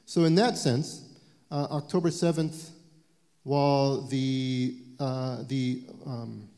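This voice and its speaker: A man in his forties speaks on a panel, pausing and restarting as he thinks through his words. His voice is mellow and mid-pitched. It rings with the long reverb of a large event hall. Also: flat delivery. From United States. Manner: halting, thoughtful, with frequent restarts. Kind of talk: panel talk.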